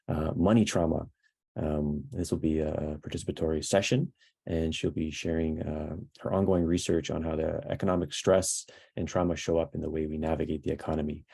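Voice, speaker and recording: Male online host, thirties, dark gravelly voice, casual measured introductions on a video call. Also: low-pitched. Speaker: host of an online talk series. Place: small room at home, indoors. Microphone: video call, laptop at arm's length.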